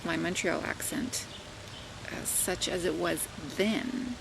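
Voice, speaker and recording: A woman's voice: fairly low pitch, fairly even intonation, takes it slowly. An older woman from Canada with a Southern Ontario accent, chatting casually to camera as a vlogger and teacher, rambling with many fillers and asides. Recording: home recording with clear background noise.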